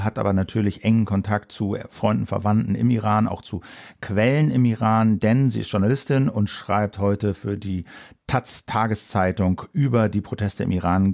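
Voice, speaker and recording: A man co-hosting a political news podcast, talking briskly and conversationally and introducing topics and a guest. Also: fairly low pitch, steady tone, creaky, a little mellow, no room sound, clean studio sound. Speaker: podcast co-host, journalist.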